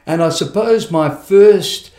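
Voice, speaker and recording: A middle-aged man from Zimbabwe, telling his life story in a conversational interview, reflective and halting, with many fillers and restarts. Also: a little high, dark tone, reverberant. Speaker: guest.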